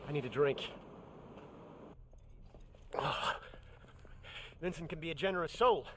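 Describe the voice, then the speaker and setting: An actor playing a loyal, wry adventuring companion in a video game, speaking earnestly with dry humor. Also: male voice, fairly high pitch, slow, a bit bright, a little hiss or hum. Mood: wry, weary. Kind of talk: dramatic video game acting.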